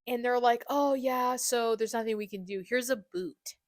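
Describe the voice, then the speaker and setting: A woman's voice: a little high, normal speed, no room sound, studio quality. A young woman hosting a podcast, chatting casually in a teasing, slangy way with some swearing. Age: young adult. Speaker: podcast host. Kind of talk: casual banter with a podcast guest.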